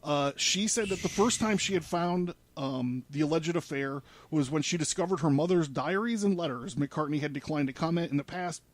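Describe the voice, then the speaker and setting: A man's voice: on the high side, moderate pace, hardly any pauses, dry recording. A man presenting a podcast in a studio, reading news articles aloud with informal asides. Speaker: podcast presenter laying out a case.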